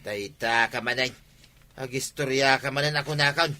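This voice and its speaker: A man's voice: mid-range voice, normal speed, bright, forceful tone, lightly acted. A man playing a weary, grumbling villager in a comedy radio drama.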